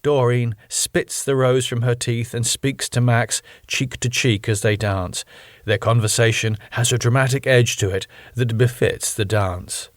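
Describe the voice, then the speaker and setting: A man narrates a sitcom script in a mid-range voice. His phrasing is measured, deliberate and a little dramatic. The sound is dry, with almost no room. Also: medium pitch, steady tone, no echo.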